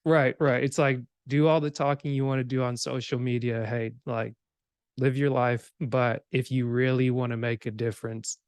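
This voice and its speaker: Male podcast host, informal and rambling, narrow pitch range, very dry recording. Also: flat delivery, moderate pace, a little mellow, clean tone, no room sound. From Cameroon, grew up in Georgia, USA. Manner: informal, rambling, acts out imagined remarks. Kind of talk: casual podcast conversation.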